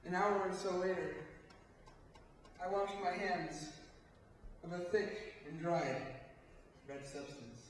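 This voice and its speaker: A man's voice: sits high, fairly even intonation, slow, long pauses. A man playing a tormented murderer who broods and repeats himself in a dramatic amateur stage monologue. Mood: grim. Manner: brooding, agitated, repetitive. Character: haunted, tormented male murderer confessing his night walk. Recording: echoey hall, far off, noisy.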